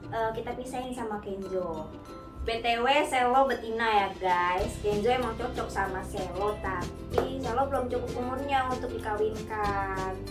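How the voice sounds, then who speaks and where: A young woman chatting casually to viewers, sharing tips about caring for her cat, mixing in English words and often calling the audience guys. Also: fairly high pitch. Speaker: cat owner sharing pet care tips. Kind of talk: casual vlog talk to viewers. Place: home studio. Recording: echoey room, heard from a distance, music behind.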